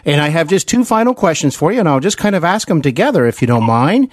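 A man's voice: on the high side, sing-song, quick pace, no room sound, clean studio sound. A man hosting a podcast interview, putting questions in a polite, agreeable and wordy conversational way.

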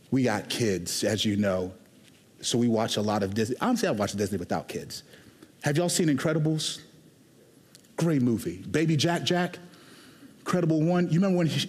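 A man preaches from a church pulpit, his voice sitting in a middle register. He talks conversationally, rushing through asides and slowing down to press key lines home. The sanctuary gives his voice a long, lingering reverb. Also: mid-range voice, breathy edge. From Houston, Texas. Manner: conversational and animated, shifting between quick asides and slow emphatic lines. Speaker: pastor. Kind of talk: sermon.

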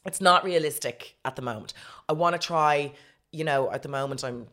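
A low-pitched Irish woman in her late thirties talks in a relaxed, candid chat. She tells her stories frankly and pokes fun at herself as she goes. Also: a little fast. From Ireland. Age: 30s. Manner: frank, self-mocking, animated storytelling.

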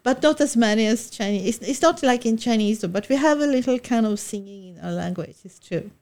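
A woman's voice: a little high, lively intonation, a little fast, a little mellow. A woman from Sweden with a Swedish accent, a guest in a casual interview, talking enthusiastically with frequent restarts and small laughs. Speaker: guest, film director and Swedish teacher.

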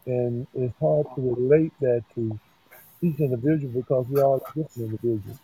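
A man's voice: medium pitch, brisk, dark tone, breathy edge, low-quality recording. A man taking part in a casual discussion, speaking hesitantly and searching for his words. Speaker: discussion guest.

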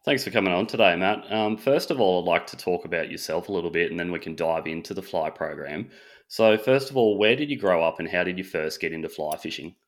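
A man's voice: low-pitched, a little fast. A man hosting a podcast, asking questions and agreeing with his guest in a friendly, casual way. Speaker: podcast host. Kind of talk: interview questions and casual chat.

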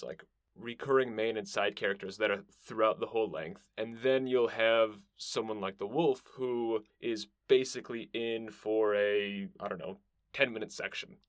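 A man's voice: lowish voice, no room sound. A man hosting a film music podcast, asking loose questions and joking with his guest. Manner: relaxed, joking interviewer. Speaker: podcast host. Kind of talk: casual interview chat.

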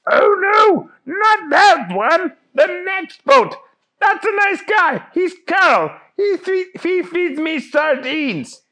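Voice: very high-pitched, very animated, takes it slowly, bright, forceful tone, pitched above the performer's own voice, heavily acted. A storyteller voicing a proud, earnest fish-man superhero with a grand, declaring way of talking. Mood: alarmed.

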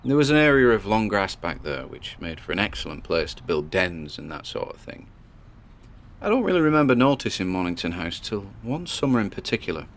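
An earnest young male ghost-hunting vlogger. He talks in a chatty, halting, reflective way, as if keeping an audio diary on tape for a radio drama. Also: on the low side, a bit bright. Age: 20s. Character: Miles Gordon, earnest 27-year-old male paranormal investigator vlogger.

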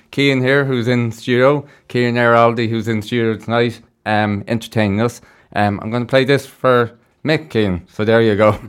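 A man's voice: medium pitch, clean tone. A man hosting a radio show from a studio in a casual, chatty and informal style. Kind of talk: casual live radio presenting. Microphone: close studio microphone.

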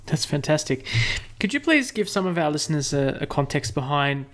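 A man's voice: on the high side, very animated, fairly quick, fairly bright tone. A man interviewing a guest for listeners, prompting with short questions. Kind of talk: radio interview.

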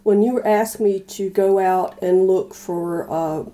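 A woman co-hosting a podcast in casual chat, thinking aloud in a tentative, halting way. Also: mid-range voice, steady tone, mellow. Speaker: podcast co-host.

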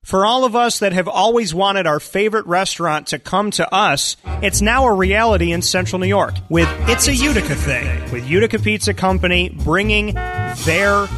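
A man's voice: high voice, expressive, moderate pace. A man reading a scripted radio ad in an upbeat, promotional manner. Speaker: ad announcer. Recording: music bed under voice.

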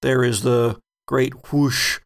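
Man, game master narration, slow measured delivery, creaky gravelly voice, medium pitch. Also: steady tone. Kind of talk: improvised narration of a tabletop game.

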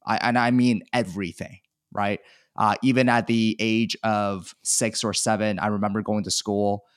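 A man's voice: on the low side, steady tone, moderate pace, smooth, dry recording, studio quality. A man in his thirties from China, telling his life story candidly as a podcast guest, self-deprecating and chatty. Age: 30s. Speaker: guest, e-commerce seller. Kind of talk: casual podcast interview.

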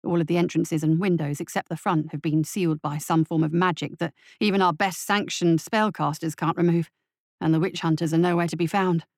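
A woman doing a low-voiced, grim male deputy. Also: fairly even intonation, a little fast, no room sound, clean studio sound, lightly acted. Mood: grim, reporting. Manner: grim, deferential, matter-of-fact. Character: dutiful, pragmatic male police deputy reporting to his captain.

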